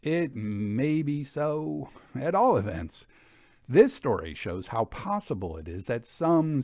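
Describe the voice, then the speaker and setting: A man giving a formal, measured and expressive storytelling read of an old Victorian ghost account. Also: medium pitch, no echo, studio quality. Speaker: audiobook reader. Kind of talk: dramatic scripted narration of a Victorian ghost account.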